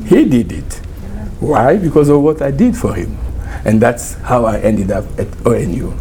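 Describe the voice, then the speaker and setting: A middle-aged man from Congo with a Congolese accent, a university professor giving a lively, informal talk to a small group, often laughing and switching languages. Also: medium pitch, moderate pace, slight background noise. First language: Chiluba and Lingala.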